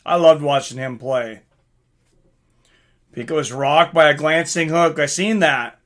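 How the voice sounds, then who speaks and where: Male live fight commentator, high bright voice, informal and excitable, chatty streamer delivery. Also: a little slow. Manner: excitable, informal, swearing, reacting aloud. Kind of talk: live fight commentary mixed with casual chat to viewers.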